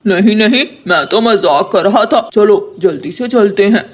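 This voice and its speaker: A woman's voice: medium pitch, expressive, measured pace, voice pushed down. A woman voicing a timid, joking male crow husband in a cartoon, speaking hesitantly. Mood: teasing, placating.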